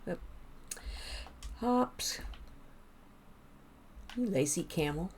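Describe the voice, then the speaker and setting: A woman streaming a game, chatting casually with viewers and rambling as she thinks out loud. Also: fairly low pitch, animated, extremely slow, a little hiss or hum. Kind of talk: casual gameplay chat with viewers.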